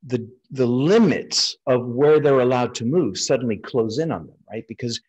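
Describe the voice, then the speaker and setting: A middle-aged man with an American accent and a somewhat husky, mid-pitched voice. He lectures informally as an experienced surgeon, explaining things conversationally. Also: expressive, normal speed, a little mellow, muffled recording. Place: indoors, on a video call over slides.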